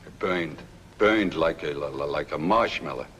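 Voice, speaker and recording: A grumbling, exasperated trucking boss with a low voice. He complains mournfully and sarcastically, in old film character style, with long reverb and a hissy noise around him. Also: normal speed, fairly bright tone. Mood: aggrieved, mournful. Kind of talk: 1950s film comic character acting.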